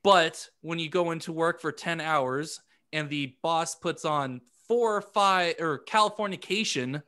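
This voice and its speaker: A man chatting casually as a podcast co-host, halting and repeating words as he works out his thoughts, often self-deprecating. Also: on the high side, pushed and bright. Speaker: podcast co-host and amateur musician. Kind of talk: casual group chat.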